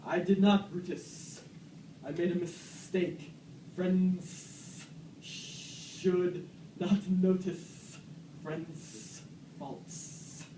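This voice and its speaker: A man's voice: high-pitched, steady tone, extremely slow, mellow. A man playing Cassius, a proud, aggrieved Roman soldier, in an amateur puppet-show parody of Shakespeare, with declamatory delivery. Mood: defiant. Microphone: distant camera microphone. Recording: echoey noisy room, far from mic.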